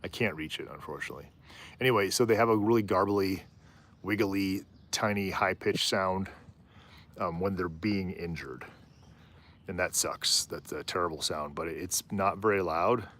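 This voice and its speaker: A man with a low voice hosting a live stream outdoors on a rooftop, chatting in a rambling, easygoing way. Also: moderate pace, a bit bright. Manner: rambling, easygoing, often trails off and laughs. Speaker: livestream host. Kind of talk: casual live commentary.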